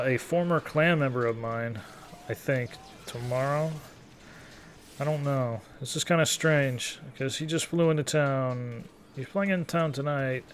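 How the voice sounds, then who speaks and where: A man's voice: fairly high pitch, slight background noise. A man in his thirties giving casual, rambling gameplay commentary as a YouTuber, in an easygoing, take-it-or-leave-it manner. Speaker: gaming YouTuber.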